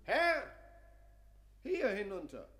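Radio play sexton: highish voice, agitated, fragmented delivery, deep echoing church space. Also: male voice, wildly swooping pitch, a bit bright, slightly rough, heavily acted. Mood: agitated, halting. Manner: halting, fragmented, abruptly emphatic phrases. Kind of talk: German radio play acting. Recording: long echoing church-like reverb.

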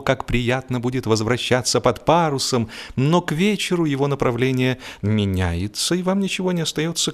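A man narrating a humorous literary story in a theatrical, expressive manner, with emphatic swells and deliberate pauses. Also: mid-range voice. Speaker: audiobook narrator. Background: music.

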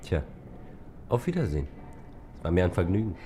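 A man's voice: low-pitched, big pitch swings, brisk, warm tone, slight background noise. An actor in a German radio play as a reserved, wry male traveler with a dry, ironic manner.

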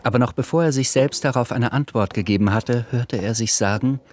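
A man playing the measured narrator of an uneasy literary radio drama, reading scripted prose. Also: medium pitch, slight background noise, close to an everyday voice.

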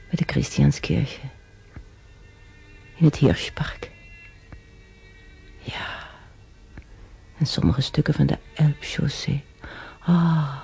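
An actress playing an elderly woman patient in a Dutch radio play, with a creaky voice and a homely, rambling way of talking. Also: low voice, warm tone, exaggerated voice. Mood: dreamy, wistful.

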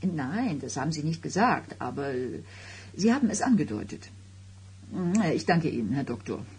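Breathy, agitated wife. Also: female voice, sits low, big pitch swings, moderate pace, a little mellow, full character voice. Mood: bitter, agitated. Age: middle-aged. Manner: dramatic, biting, questioning. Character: agitated, suspicious, sarcastic pregnant wife of thirty-nine. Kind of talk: 1990s German radio drama acting.

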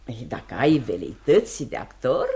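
A woman's voice: rumbling low, big pitch swings, dark tone. An actress playing a middle-aged, genteel and chatty landlady, effusively hospitable, in Romanian radio theatre. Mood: amused, enthusiastic.

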